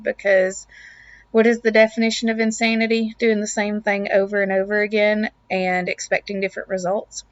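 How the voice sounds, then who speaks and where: A young woman with a raspy voice and a lingering cough, talking in an upbeat, earnest way as a casual vlog voiceover about home and family. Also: mid-range voice, highs cut off. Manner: upbeat, earnest, faith-filled. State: lingering cough, throat clearing. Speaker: lifestyle vlogger, mom of two.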